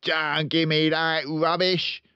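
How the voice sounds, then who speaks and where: A man's voice: a little high, flat delivery, slow, sharp tone, smooth, no echo, lo-fi sound, voice pushed up. A man voicing a boastful, bullying, hot-tempered father in a storybook, blustering and pompous. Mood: angry, shouting. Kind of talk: storybook read-aloud character voice.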